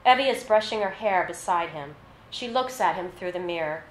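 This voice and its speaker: A woman's voice: lowish voice, expressive. Woman reading fiction in an echoey room. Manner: measured, scripted narration with read-out dialogue. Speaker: author reading short fiction aloud. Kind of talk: literary reading at a live launch event. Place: live reading in an echoey venue. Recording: roomy echo, faint background noise.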